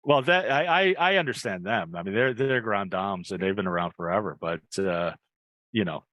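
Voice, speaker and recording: Guy podcast host, lowish voice but bright, super dry close sound, just chatting with guests. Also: animated, moderate pace, dry recording. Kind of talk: casual interview chat.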